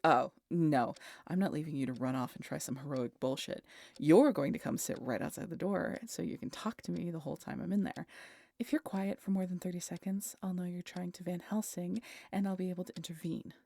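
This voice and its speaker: A weary, guarded vampire woman, acted by a woman speaks in a low, gravelly voice with a husky, breathy edge. She is dryly sardonic yet protective, talking haltingly and intimately to one listener in an audio roleplay. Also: mellow, no echo. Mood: firm, wary. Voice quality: breathy, husky, often dropping to a whisper.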